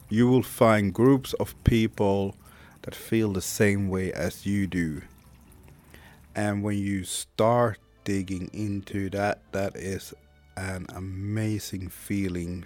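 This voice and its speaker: Thirties male podcast host, Swedish accent, deep and slow, reflective and frank. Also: darkish tone. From Sweden. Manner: candid, reflective, swears casually. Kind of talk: personal monologue podcast.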